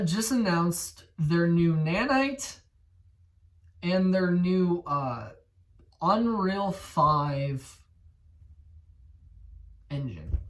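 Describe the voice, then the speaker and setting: A man in his twenties hosting a gaming channel, talking in casual commentary that rambles, gets excited and corrects itself. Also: a little high, distant mic, low-quality recording. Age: 20s.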